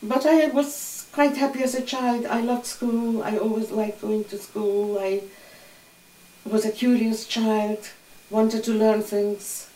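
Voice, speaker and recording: Older woman telling her life story, calm and matter-of-fact, echoey room, mic kinda far off. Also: fairly high pitch, slow pace. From Hungary. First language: Hungarian. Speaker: interviewee. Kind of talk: oral history recollection. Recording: roomy echo, distant mic.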